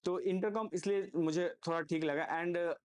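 Higher-pitched man giving a casual review. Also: fairly even intonation, measured pace. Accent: Indian. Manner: explanatory, frequently checks in with "okay". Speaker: motorcycle gear reviewer. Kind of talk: casual unscripted product review.

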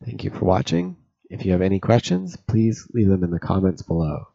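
A man with a very low, mellow voice talks through a sailing lesson in a calm, even, methodical way. The voice-over sounds a little dull and lo-fi. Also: brisk, vocal fry. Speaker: sailing instructor. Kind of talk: scripted explainer narration.

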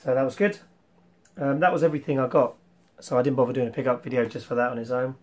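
A young man hosting a casual retro collection vlog, rambling with ums and clearing his throat, his voice slightly worn from losing it. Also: medium pitch, fairly even intonation, fast talker, a little mellow. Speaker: retro game collector vlogger. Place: small room full of toy shelves, indoors.

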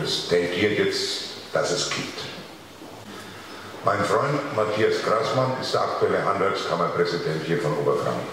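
A man's voice: sits low, noisy background. An older man, a craftsman in the audience, making a blunt, emphatic comment on a handheld mic in a large showroom. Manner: blunt and emphatic, rising to sharp stresses. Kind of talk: spontaneous comment from the floor. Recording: echoey hall miked from far.

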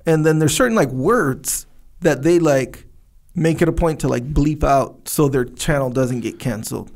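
A young man co-hosting a podcast in a studio, talking in a rambling, animated way and searching for words. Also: fairly high pitch, moderate pace. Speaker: podcast co-host. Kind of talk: casual podcast chat. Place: dark studio, indoors. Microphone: studio mic on boom arm, headphones.

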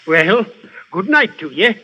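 Folksy old innkeeper. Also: male voice, high-pitched, very animated, a little slow, in a large hall. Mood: welcoming. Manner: folksy, kindly, calls her lass. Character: jovial older male country innkeeper. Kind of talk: 1930s radio drama acting. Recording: dull old radio broadcast with faint hiss.

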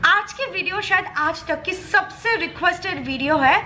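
A young woman vlogging casually and emotionally as she walks a handheld camera through the bare rooms of an old flat. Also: very high-pitched, normal speed, a little hiss or hum. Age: young adult. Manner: chatty, emotional, mixes in English phrases. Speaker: YouTube vlogger. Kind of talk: casual home tour vlog.

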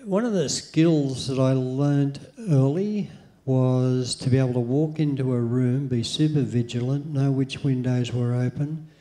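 Middle-aged aussie guy on a convention stage w handheld mic, lots of ums, mellow dark tone. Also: medium pitch. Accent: Australian. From Australia. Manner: halting, with many ums and uhs. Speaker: guest speaker. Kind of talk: interview on stage.

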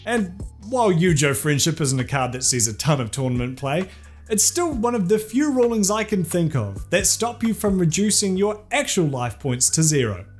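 A young man delivers scripted commentary with sarcastic, crude humour. His voice sits high and swings widely in pitch, lively throughout. Faint background music plays under his studio-recorded voice. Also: normal speed. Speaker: video essay host.

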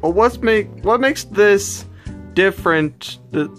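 Reluctantly kind cartoon buddy, performer doing the part: halting stammer, flustered skepticism, unhurried delivery. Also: medium pitch, fairly bright tone, soft background music. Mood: baffled.

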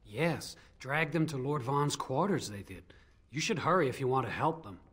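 An actor voicing a bitter, hurried male elf servant in a fantasy game. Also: mid-range voice, animated, a bit bright, breathy edge.

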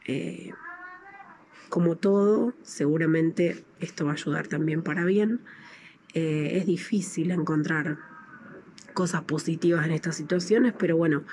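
Mellow low-voiced Argentine woman, faint noise. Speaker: guest sending a video greeting. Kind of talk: casual personal update. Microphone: phone at arm's length. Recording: noisy outdoor phone recording.

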